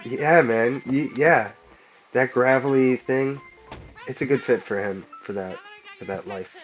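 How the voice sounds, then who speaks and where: A man in a film commentary, talking at a mid pitch in an enthusiastic, chatty way. He is loose and casual and often leans on filler words. Soft music from the film sits faintly underneath. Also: unhurried, rough recording. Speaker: filmmaker. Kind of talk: director's commentary chat.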